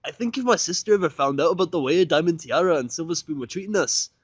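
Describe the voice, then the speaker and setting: A man's voice: a little high, expressive, quick pace, husky, dry recording, voice pushed up. A man voicing a sweet, earnest young female pony who talks hesitantly and picks words with care. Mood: careful, earnest. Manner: tentative, choosing words carefully.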